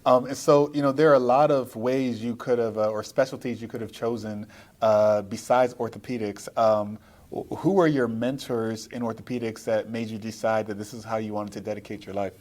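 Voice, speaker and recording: A man hosting an interview podcast, speaking with halting, hesitant phrasing as he puts questions to a guest. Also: lowish voice, steady tone, a little mellow. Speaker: podcast host. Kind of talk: interview, live podcast recording. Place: at a conference in Seattle.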